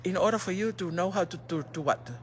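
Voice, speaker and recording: A woman's voice: fairly low pitch, fairly quick. Brief: middle-aged Tongan woman, native Tongan speaker, earnest livestream pep talk on a phone, faint hum behind. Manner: earnest, asks rhetorical questions and answers them; switches between languages mid-sentence. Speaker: livestream host. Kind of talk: motivational livestream chat.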